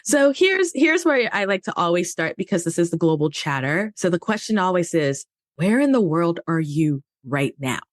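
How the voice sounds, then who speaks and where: A woman's voice: on the low side, sing-song, normal speed, no room sound, studio quality. A woman hosting a podcast, upbeat and friendly as she brings in her guest. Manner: upbeat, friendly, asks questions. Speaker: podcast host. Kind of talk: casual interview chat.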